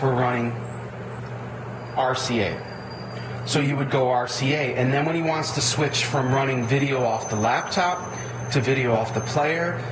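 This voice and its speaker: A man giving an unscripted, plain-spoken, step-by-step tutorial as an audio equipment installer. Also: medium pitch. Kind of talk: unscripted product tutorial, walkthrough. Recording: echoey room with clear background noise.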